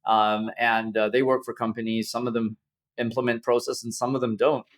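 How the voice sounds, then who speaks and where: A man hosts a business podcast and frames his questions at length, restarting phrases as he goes. His voice is middle-pitched and conversational. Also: flat delivery, normal speed. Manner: asks long, winding questions with restarts. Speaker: podcast host.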